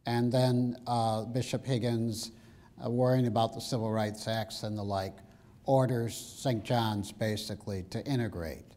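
A man's voice: mid-range voice, mellow. An older man speaking emphatically on a panel, telling personal stories with wry asides, in a large wood-paneled hall. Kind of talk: passionate panel talk.

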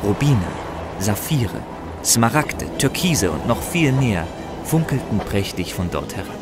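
A man narrating a fantasy audio drama in a measured, epic storytelling manner. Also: on the low side, big pitch swings. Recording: loud music bed under the voice.

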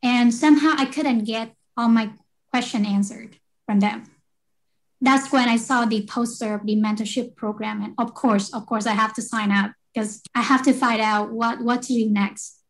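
A woman's voice: fairly high pitch, fairly even intonation, normal speed, poor audio. Earnest young woman from Vietnam. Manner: earnest, with small repeats. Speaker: guest, electrical engineer. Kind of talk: interview storytelling.

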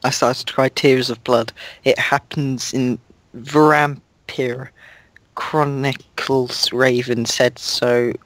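A young man giving a deadpan, mocking dramatic reading of a story, with sarcastic asides. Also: mid-range voice, slow pace, dry recording. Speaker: reader.

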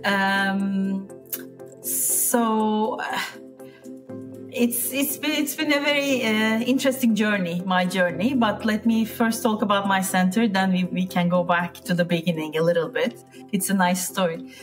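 A woman's voice: mid-range voice, a little slow, music in the background. A woman from Turkey with a Turkish accent, talking casually as an interview guest and often searching for words. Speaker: interview guest, piano teacher.

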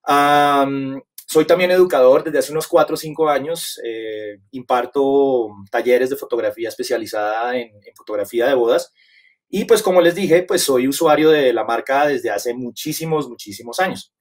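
A man in his forties with a Colombian Bogotá accent, speaking at a medium pitch. He talks through a webcam video call, gracious and rambling, with many fillers and restarted phrases. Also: moderate pace. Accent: Colombian Spanish, Bogotá. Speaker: guest photographer presenter. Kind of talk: webinar presentation. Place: home setup on a video call.

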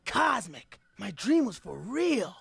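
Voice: mid-range voice, sing-song, slow pace, vocal fry, loud music behind the voice, exaggerated voice. An actor voicing a big, goofy, dim male ape hero in a cartoon, naive and earnest. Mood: dopey, puzzled. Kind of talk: animated cartoon acting.

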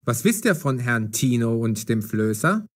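Commanding, mocking police detective. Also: male voice, mid-range voice, big pitch swings, unhurried. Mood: matter-of-fact. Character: gruff, mocking police detective, stern but fair. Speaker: voice actor. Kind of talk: audio drama for language learners.

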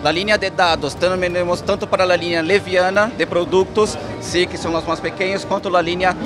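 A man's voice: high voice, fairly even intonation, moderate pace. A man with a Brazilian accent presenting products as an interview guest at a trade expo. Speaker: export manager, interview guest. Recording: music under the voice. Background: background music.

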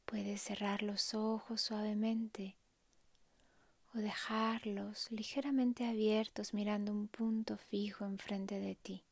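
A woman softly narrating a guided meditation in a hushed, calm, breathy way, leaving long pauses. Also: mid-range voice, no echo.

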